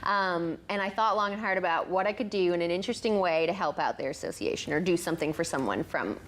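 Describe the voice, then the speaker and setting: A woman's voice: on the low side, quick pace, a bit bright, slight background noise. A woman talking as a guest in a TV studio interview, recounting events in an informal, slightly hesitant way. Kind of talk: conversational storytelling in an interview.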